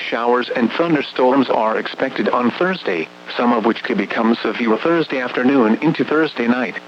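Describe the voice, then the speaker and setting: A dull, slightly noisy radio broadcast with a hum carries a man's mid-pitched voice. He reads a scripted weather bulletin in a formal, measured and impersonal manner.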